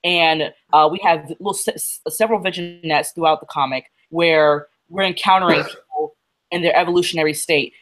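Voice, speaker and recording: A woman co-hosting a casual livestream chat about comics. She is feeling unwell and talks haltingly, with fillers and restarts. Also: low-pitched, steady tone, a bit bright, poor audio. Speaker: co-host.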